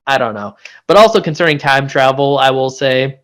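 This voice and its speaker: A man's voice: mid-range voice, moderate pace, fairly bright tone, clear, resonant tone. A man with a mid-range voice talks through a review in a casual chat. He rambles conversationally and leans on his points with emphasis, like a co-host among friends. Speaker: pop-culture show co-host.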